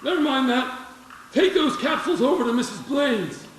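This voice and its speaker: A man playing a grief-stricken, drunken, bad-tempered older druggist with gruff outbursts in a live radio play. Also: very high voice, expressive, normal speed. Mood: angry, barking. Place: stage of a live theater performance. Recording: echoey stage, distant mic, faint music.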